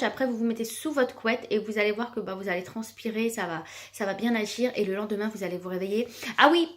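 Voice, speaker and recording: A woman's voice: on the high side, fairly bright tone. A woman with children, chatting casually while demonstrating a cream she sells, full of fillers and repeated words. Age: adult with children. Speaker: product seller vlogger.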